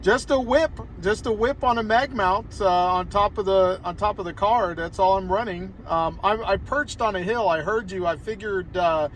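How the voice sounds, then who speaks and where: A man's voice: sits high, normal speed, hardly any pauses, slight background noise. An American man chatting casually as an amateur radio operator, rambling a little as he talks from inside a car. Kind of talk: casual ham radio conversation. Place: inside a parked car outdoors on a hillside.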